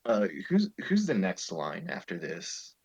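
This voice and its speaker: A man's voice: low voice, huge pitch swings, unhurried, creaky, darkish tone, slightly rough. A man chatting casually as a performer in a group story reading. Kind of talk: casual chat between acted lines.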